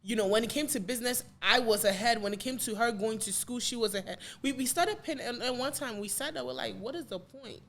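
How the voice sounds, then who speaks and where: A woman's voice: fairly high pitch, fairly bright tone, a little hiss or hum. Woman, late 20s, chatty and open, keeps restarting her thoughts. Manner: candid, talks in quick bursts with restarts. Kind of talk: casual discussion.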